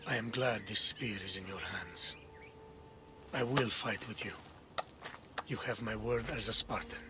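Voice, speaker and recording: An actor playing a stern, proud old Spartan king and grandfather in a video game, speaking in a grave, measured manner. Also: fairly low pitch, noisy background, close to an everyday voice. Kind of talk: video game cutscene dialogue.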